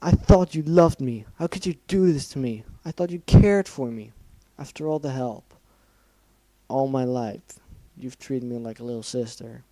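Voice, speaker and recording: A man's voice: mid-range voice, fairly even intonation, unhurried, mellow, no echo, lightly acted, poor audio. A man voicing a young female pegasus filly who wails and pleads through tears in a fan story reading. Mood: pleading. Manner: wailing, pleading.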